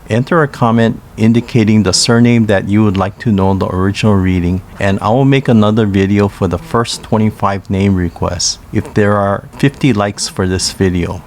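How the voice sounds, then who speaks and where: A man narrating a language lesson in a careful, scripted way, reading pairs of names slowly. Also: fairly low pitch, a little hiss or hum. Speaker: language teacher.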